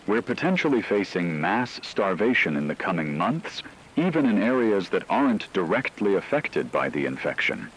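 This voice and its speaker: A man playing a grave radio anchor, delivering a measured, analytical scripted emergency broadcast. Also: low voice, very animated, a bit bright, a little hiss or hum, full character voice, rough recording. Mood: sober, pragmatic. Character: grave male radio anchor reporting an apocalypse.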